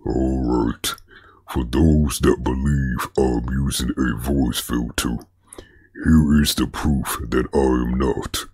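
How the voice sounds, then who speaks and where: A man hosting a commentary vlog, speaking in a slow, deliberate, even way. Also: a bass voice, slightly rough, no room sound, studio quality. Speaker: commentary channel host.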